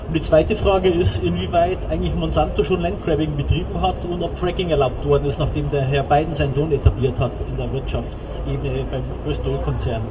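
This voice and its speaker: A man's voice: fairly high pitch, fairly even intonation, fairly quick, hardly any pauses. A man in the audience earnestly asking long run-on questions at an outdoor rally. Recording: distant mic, street noise.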